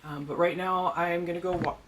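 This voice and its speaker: A deep-voiced person chatting casually while unboxing a doll at home, rambling and gushing with excitement. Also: brisk. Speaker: doll collector and vlogger. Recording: noisy home recording.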